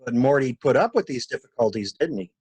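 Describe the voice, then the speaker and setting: A man's voice: medium pitch, expressive, a little fast, darkish tone, slightly rough, no room sound. A man playing a shrewd, blunt stranger who questions people like a detective in a dramatic mystery reading. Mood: probing. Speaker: amateur reader, library staff.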